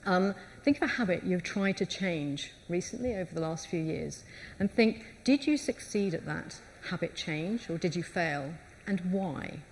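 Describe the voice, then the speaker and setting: A woman's voice: lowish voice, lively intonation, normal speed, a little hiss or hum. A woman co-presenting a live conference session, explaining and speaking directly to the audience.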